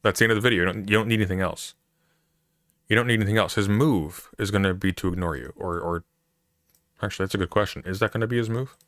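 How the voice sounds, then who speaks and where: A man's voice: fairly low pitch, quick pace, fairly bright tone, no room sound, clean studio sound. A man hosting a casual reaction stream, talking over clips in an exasperated, emphatic way and repeating himself.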